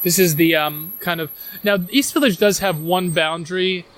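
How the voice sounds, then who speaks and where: Brief: New York-accented man, fairly high voice, rambling outdoor tour guide, lots of ums and restarts. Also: steady tone, moderate pace, a bit bright. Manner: rambling, enthusiastic, many fillers and restarts. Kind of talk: casual walking-tour chat. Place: outdoors on city streets.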